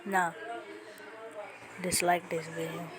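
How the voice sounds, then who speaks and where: A woman's voice: on the low side, little pitch movement, slow pace. A woman giving casual, mocking reaction commentary in a scornful, slangy manner, repeating phrases for emphasis. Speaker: YouTuber making a reaction video. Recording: loud music behind the voice. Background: music.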